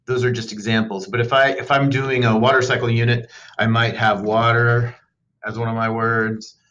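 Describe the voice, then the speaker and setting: A man giving a loose, unscripted screen-recorded software tutorial as a teacher, with frequent ums and uhs. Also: mid-range voice, little pitch movement, normal speed, lo-fi sound.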